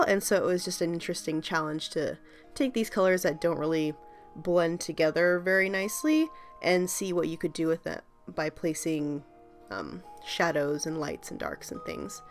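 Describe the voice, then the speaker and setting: A woman talks through her art video in a loose, rambling way, full of ums and small laughs. Her voice sits a little low and is still slightly scratchy from a recent cold. Soft music plays quietly beneath her. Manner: chatty, rambling, laughs often, many ums. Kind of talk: casual voice-over commentary.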